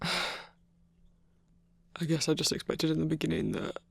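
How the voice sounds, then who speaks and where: A grumpy yet tender werewolf boyfriend, played by a man for an intimate ASMR roleplay. The voice sits a little high for a male but is thick with gravelly creak. It is recorded close and dry, murmuring in halting, sweary phrases. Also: no room sound, heavily acted. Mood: earnest, hesitant. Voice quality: gravelly, husky, breathy. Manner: halting, sweary, hushed rambling with sighs.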